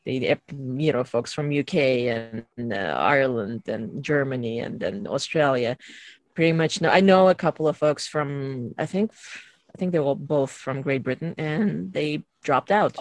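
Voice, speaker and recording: Wordy, halting podcast host, dry close sound. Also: medium pitch, dry recording. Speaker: podcast host moderating a group hangout. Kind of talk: casual chat with hesitations. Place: online video call.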